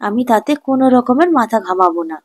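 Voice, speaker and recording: A woman's voice: a little high, lively intonation, quick pace. A woman voicing a polite, trusting young man who runs a food stall in a children's cartoon, earnest and mild. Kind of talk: Bengali children's cartoon dubbing.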